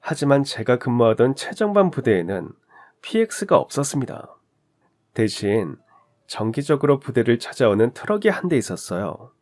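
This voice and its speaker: Male storyteller for radio-drama narration; conversational, direct to listener, animated pitch, mid-range voice. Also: normal speed.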